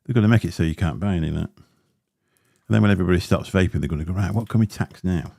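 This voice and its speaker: Deep-voiced bloke rambling on a home livestream, gravelly, dry close mic. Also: male voice, quick pace, mellow, dry recording. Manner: rambling and chatty with many fillers, grumbling asides. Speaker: livestream host. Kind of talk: casual livestream chat while unboxing gear. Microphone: dynamic studio mic close up.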